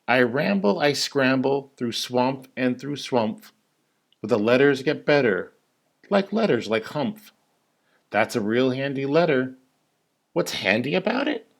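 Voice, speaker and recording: A man's voice: medium pitch, lively intonation, a little slow, gravelly. Man reading a rhyming storybook expressively. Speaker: teacher reading a children's story. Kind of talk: animated storybook read-aloud of rhyming verse.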